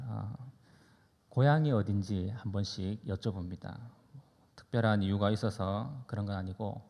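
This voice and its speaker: A man preaching as a pastor in a conversational, anecdotal sermon style, deliberate and pausing between phrases. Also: on the low side, dark tone. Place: church sanctuary during a service. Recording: reverberant hall, long echo.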